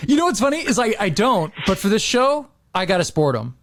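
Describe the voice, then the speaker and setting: Middle-aged man, podcast host, high voice, lively swings in pitch, casual slangy chat. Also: brisk.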